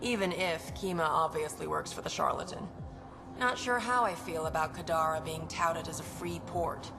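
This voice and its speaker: A woman's voice: sits low, steady tone, moderate pace, music in the background, natural voice. An actress playing a jaded woman bartender who answers in short, sardonic replies. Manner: laconic, sardonic.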